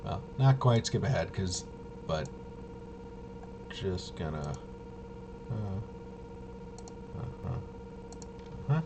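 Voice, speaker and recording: A man giving a casual, unscripted walkthrough as a host, rambling and halting, with muttered asides to himself. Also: deep voice, animated, creaky, background noise. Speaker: host of a tabletop RPG review video.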